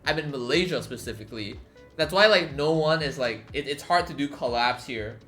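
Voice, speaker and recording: A man's voice: mid-range voice, a little fast, a bit bright, faint music behind. A young man chatting casually on a live stream, rambling with many fillers and swearing freely. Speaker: livestreamer.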